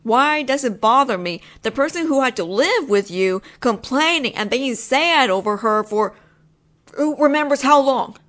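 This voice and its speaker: Brief: woman, slightly high voice, close mic, roleplay as a possessive roommate, insistent and teasing. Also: a bit bright. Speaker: voice actress playing a possessive roommate. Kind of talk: scripted one-sided audio roleplay.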